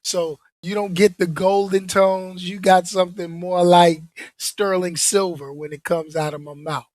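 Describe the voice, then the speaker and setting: A man hosting his podcast in casual chat, his voice hoarse from being under the weather, joking and repeating himself. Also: high-pitched, level tone, a little slow, darkish tone, no room sound. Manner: laid-back, repeats himself, joking asides. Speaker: podcast host.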